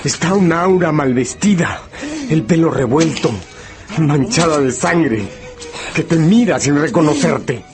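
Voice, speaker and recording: A man's voice: on the high side, animated, a little slow. A man narrating a gothic radio drama in a solemn, suspenseful, literary style. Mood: urgent, suspenseful. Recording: radio play with music underneath.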